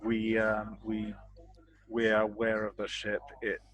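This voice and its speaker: A man's voice: fairly low pitch, completely flat delivery, unhurried, a bit bright. A man chatting casually as a player in a tabletop roleplaying game. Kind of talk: casual in-character roleplay chat.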